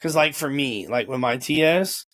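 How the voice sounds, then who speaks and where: A man's voice: mid-range voice, normal speed, a bit bright. A young man hosting a casual interview, informal and repeating words as he feels his way through questions. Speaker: host. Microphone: video call or home camera.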